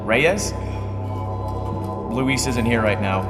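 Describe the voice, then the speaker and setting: A man's voice: medium pitch, lively intonation, extremely slow, over loud music. A man playing an earnest, determined young mutant hero in a video game, plain-spoken and resolute. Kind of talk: video game character acting.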